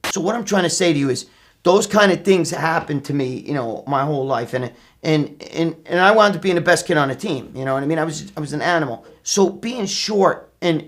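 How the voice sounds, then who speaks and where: A middle-aged man with a New York accent telling personal stories casually, brash and profane, acting out what people said. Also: a little high. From New York, USA. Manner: brash, profane, animated retelling with acted quotes. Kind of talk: casual anecdotal storytelling.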